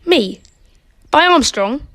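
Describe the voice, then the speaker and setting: A woman's voice: sits high, animated, slow, fairly bright tone, dry recording, exaggerated voice. A woman playing a blunt, suspicious, crooked policeman in an amateur radio play, speaking insistently.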